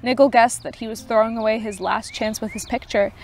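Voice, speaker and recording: A woman voicing a gentle but authoritative unseen advocate who speaks kindly and with measured calm. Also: on the high side, close to an everyday voice. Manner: kind, measured, pleading.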